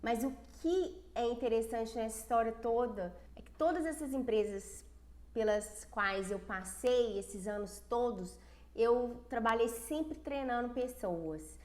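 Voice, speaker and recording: A woman's voice: a little high, unhurried, darkish tone, roomy sound. A Brazilian woman speaking as a self-esteem coach in a casual livestream, earnest and personal with frequent restarts, congested from a cold. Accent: Brazilian Portuguese.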